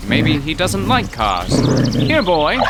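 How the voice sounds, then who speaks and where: A nervous, fussy professor, played by an actor, speaking in a formal, old-fashioned way. His voice jumps high when alarmed, with clear background noise behind him. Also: huge pitch swings, takes it slowly, full character voice. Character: fussy, cautious male professor.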